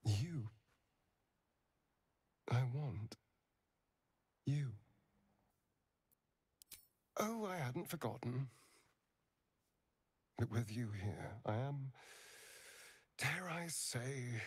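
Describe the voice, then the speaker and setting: A man's voice: medium pitch, sing-song, very slow, pauses often, slightly rough, full character voice. An actor playing a charming, wounded male vampire spawn in a video game, with a theatrical, drawling, wry delivery. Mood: tender.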